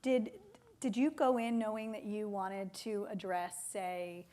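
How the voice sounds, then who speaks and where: A woman's voice: mid-range voice, a little slow, dark tone. A woman interviewing on stage in a literary conversation, tentative and searching as she rephrases her questions. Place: theater stage.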